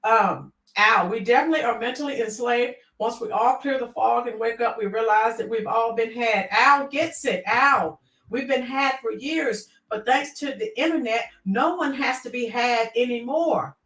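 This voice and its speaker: A woman's voice: fairly high pitch, moderate pace, fairly bright tone, echoey room, distant mic, rough recording. A middle-aged woman hosting a casual livestream, reading out viewer comments and rambling with many fillers.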